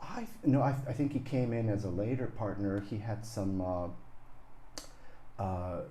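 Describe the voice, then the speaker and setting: A man in his sixties from Japan, talking as an interview guest, halting with fillers and restarts and laughing easily. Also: lowish voice, steady tone, mellow, reverberant, a little hiss or hum. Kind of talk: casual interview chat.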